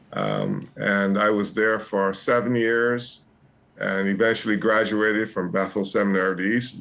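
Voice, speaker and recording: A man in his sixties, a pastor, speaking haltingly with many fillers as an interview guest. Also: fairly low pitch, bright, forceful tone. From Barbados and England. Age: 60s. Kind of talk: casual interview.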